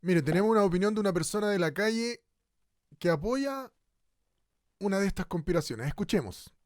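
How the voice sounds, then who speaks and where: A man plays an eager radio show host who announces clips and questions his guest in an announcer-like way. His voice sits high. The sound is dry and close to the mic. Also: expressive, brisk, dry recording. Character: eager male radio host, brisk interviewer. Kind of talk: radio drama acting.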